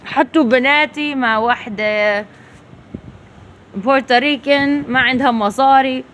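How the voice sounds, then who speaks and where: A woman's voice: high-pitched, fairly even intonation, quick pace, fairly bright tone, a little hiss or hum. Rambling, profane woman switching languages. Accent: rural Levantine Arabic when speaking Arabic. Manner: emotional, profane, switches between Arabic and English. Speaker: vlogger talking to herself. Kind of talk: rambling personal monologue.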